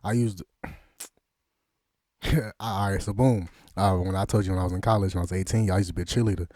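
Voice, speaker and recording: A man's voice: sits low, steady tone, fairly quick, a little mellow, no room sound. A man hosting a casual interview, talking in slangy, joking banter. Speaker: interviewer and host.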